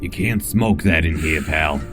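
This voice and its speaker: An actor playing a brusque, sarcastic cab driver in an audio drama. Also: male voice, very deep voice, measured pace. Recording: music behind the voice.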